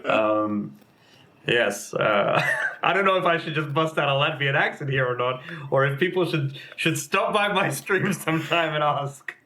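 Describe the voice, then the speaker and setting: A man's voice: on the high side, expressive, measured pace, bright, forceful tone. A young adult man, a game community manager, chatting casually as an interview guest, rambling with many fillers and restarts.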